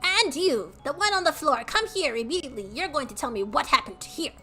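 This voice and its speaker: Woman playing stern lady detective, commanding and sharp, higher-pitched, game voice. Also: lively intonation, brisk, faint music behind. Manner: commanding, snapping orders.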